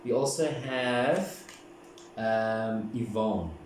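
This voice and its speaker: A man from Africa, giving a casual, gentle, meandering tarot reading and often repeating himself. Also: fairly low pitch, very slow. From South Africa. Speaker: tarot card reader. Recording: very echoey room, distant mic, background noise.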